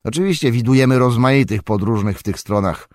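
A man voicing a stout, older male hobbit farmer who talks loudly and heartily. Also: brisk, no echo, clean studio sound, close to an everyday voice. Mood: hearty. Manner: loud, booming and hospitable.

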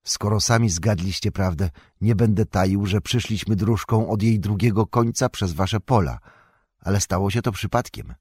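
A man's voice: lowish voice, moderate pace, darkish tone, breathy edge, no echo, studio quality, pitched above the performer's own voice. A man voicing a young male hobbit who speaks politely and earnestly.

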